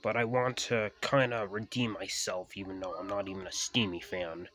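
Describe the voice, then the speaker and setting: A man's voice: low voice, level tone, normal speed, a bit bright. A man voicing a devious, grudging male diesel engine who grumbles, in an amateur children's story.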